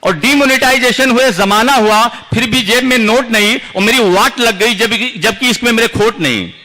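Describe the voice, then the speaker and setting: A man's voice: very high voice, moderate pace, bright, forceful tone, low-quality recording. A man from India reciting his own verse at a poetry gathering, declaiming and repeating lines for effect. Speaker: poet at a recital. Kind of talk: reciting humorous and serious verse. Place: large outdoor poetry gathering.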